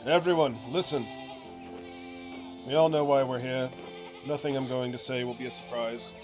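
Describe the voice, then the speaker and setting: A man voicing an impassioned village rabble-rouser in a video game, declaiming to a crowd in emphatic, measured phrases. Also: mid-range voice, fairly even intonation, music in the background, lightly acted. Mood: fervent, defiant. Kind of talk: acted reading of video game speech. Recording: clearly noisy game ambience behind voice.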